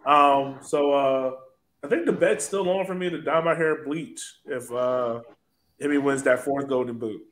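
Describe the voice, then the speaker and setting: A man's voice: medium pitch, a little slow, a bit bright. A man hosting a casual sports podcast, chatting in a playful, teasing way. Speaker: podcast host.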